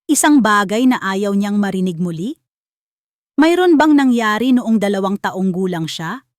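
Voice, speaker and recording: A Filipino woman voicing an understanding doctor who asks slow, pointed questions in an audio drama. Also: mid-range voice, expressive, no echo, clean studio sound, natural voice. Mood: gently probing.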